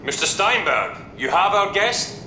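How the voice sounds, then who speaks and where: A man's voice: sing-song, measured pace. A man playing a courteous, grave older agency chief in a video game cutscene. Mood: solemn. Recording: echoey, rumbling noise behind.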